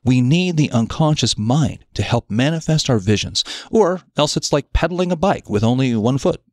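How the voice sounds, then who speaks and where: Expressive male self-help article narrator. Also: medium pitch, moderate pace, no room sound, studio quality. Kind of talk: scripted narration of an audio article.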